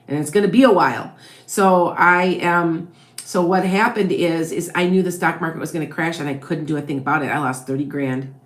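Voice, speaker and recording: A woman with a Wisconsin-Chicago blended accent, a psychic tarot reader chatting through viewers' questions on a live stream and tagging her points with "okay? all right?". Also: deep voice. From American Midwest.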